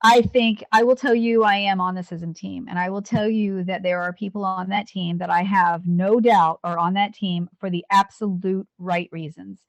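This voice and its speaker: A woman's voice: mid-range voice, steady tone, moderate pace, gravelly, few pauses, no room sound, lo-fi sound. A woman in her fifties, a police officer and Navy veteran, talking earnestly in an interview with frequent restarts. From United States. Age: 50s. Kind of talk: candid interview talk.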